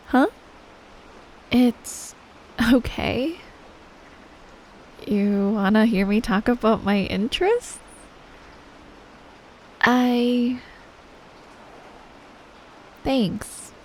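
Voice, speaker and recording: A woman playing a shy, awkward young best friend in an ASMR roleplay, hesitant and soft-spoken, speaking straight to the listener. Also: on the high side, rough recording. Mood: sheepish, apologetic. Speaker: audio roleplay performer.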